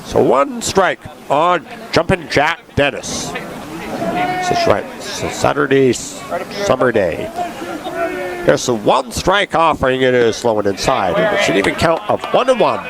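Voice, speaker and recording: A man's voice: mid-range voice, wildly swooping pitch, unhurried, vocal fry, a loud crowd. A man doing baseball play-by-play commentary, sounding congested, chatty and given to history asides. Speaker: play-by-play announcer.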